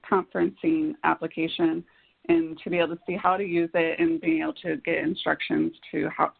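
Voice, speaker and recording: Halting woman presenter with a creaky voice. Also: lowish voice. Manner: halting, piecemeal phrasing. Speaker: presenter on an orientation call. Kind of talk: slide presentation on a conference call. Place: web conference call. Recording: dull, lo-fi conference call audio.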